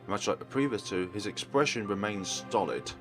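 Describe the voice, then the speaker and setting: A man's voice: on the low side, normal speed. A man narrating a let's play, reading a visual novel aloud as dramatic storytelling. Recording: game music behind voice.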